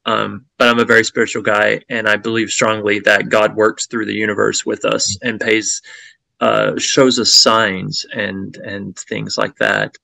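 A Texan man with a Southern accent chats as an interview guest over a webcam from a room at home. His voice is gravelly yet very bright, and the sound is dry and close. He hesitates, restarting his sentences with plenty of "um"s. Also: lowish voice, no room sound, poor audio. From Texas. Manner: hesitant, many fillers and restarts. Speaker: actor guest. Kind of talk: casual video call interview. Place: home room with shelves, indoors. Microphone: webcam on a video call.

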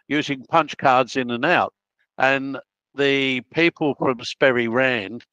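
An elderly man with an Australian accent talks in an unhurried, anecdotal way, often breaking off mid-sentence to restart. His voice sits at an ordinary pitch, and the recording is dry and slightly muffled. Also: fairly bright tone, dry recording. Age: 80s. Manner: rambling, anecdotal, self-interrupting. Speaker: guest, project planner. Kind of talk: casual interview storytelling.

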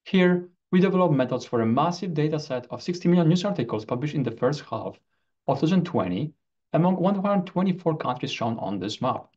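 Guy reading out a research talk, kinda high voice, formal and careful, bit lo-fi muffled audio. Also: expressive, brisk, darkish tone. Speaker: researcher presenting a paper. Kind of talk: scripted academic video presentation.

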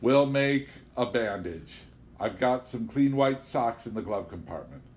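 A man's voice: mid-range voice, lively intonation, a little slow, darkish tone, close to an everyday voice. A man voicing a coolly casual, roguish drifter in an audiobook. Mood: calm soothing. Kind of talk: audiobook character voice.

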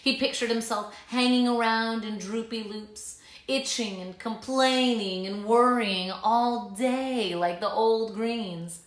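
A woman's voice: a little high, slow. A woman in her thirties reading a children's story aloud in a dramatic, emphatic way in a living room. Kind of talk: expressive children's read-aloud. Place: small living room, indoors. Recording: echoey room sound.

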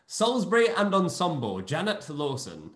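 A man hosting an online play reading, briskly announcing each cast member over a video call. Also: fairly high pitch, roomy sound. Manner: brisk, announcing names in a lively sing-song. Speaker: host and producer.

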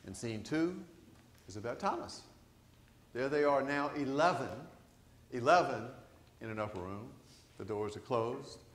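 An older man, a priest, preaching a conversational sermon to his congregation, animated and asking them questions. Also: mid-range voice, a little slow, pauses often. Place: large church sanctuary. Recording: echoey church sanctuary.

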